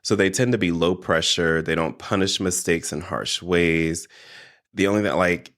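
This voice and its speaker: A man's voice: low-pitched, moderate pace. Congested, nasal man hosting a podcast. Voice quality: a little nasal. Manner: animated, chatty, addresses listeners directly. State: congested from allergies. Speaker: podcast host. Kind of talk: casual solo podcast chat.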